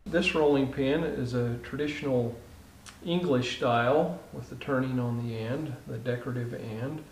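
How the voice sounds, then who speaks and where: A man, a craftsman, explaining his work informally and haltingly, searching for words. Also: mid-range voice, darkish tone. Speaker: craftsman furniture maker. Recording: roomy, slightly distant, faint noise.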